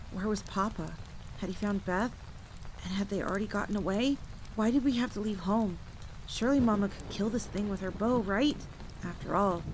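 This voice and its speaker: A haunted female monster hunter tells her grim tale by the campfire, acted by a woman. Her voice sits on the low side, in a dramatic audio roleplay delivery. Steady rain runs clearly behind her. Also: background noise. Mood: dread. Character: haunted female vampire hunter recounting a childhood horror. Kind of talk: dramatic first-person campfire storytelling, ASMR roleplay. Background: rain and storm ambience.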